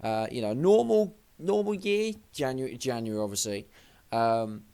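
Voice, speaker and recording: A young Englishman talks in a loose, rambling way, swearing freely and poking fun at himself. His voice is slightly hoarse and sits at a middle pitch for a man. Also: lively intonation, moderate pace, mellow. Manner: rambling, sweary, self-deprecating. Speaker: wrestling show previewer. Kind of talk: casual vlog commentary.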